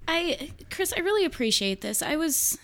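Woman as struggling younger sister, quiet and thankful, higher pitch. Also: very animated, normal speed, heavily acted. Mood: grateful. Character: down-on-her-luck grateful younger sister.

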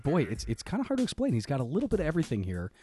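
A man's voice: on the high side, wildly swooping pitch, rapid-fire, warm tone, faint music behind. A man hosting a podcast, stuffed up and half losing his voice, rambling and losing his place in casual chat. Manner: rambling, loses his place, self-deprecating. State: stuffed up, congested. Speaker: podcast host.

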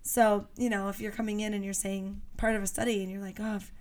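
A woman co-hosting a podcast, chatting casually in an animated, playful way as she thinks aloud. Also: medium pitch, quick pace. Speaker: podcast co-host. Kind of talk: casual chat.

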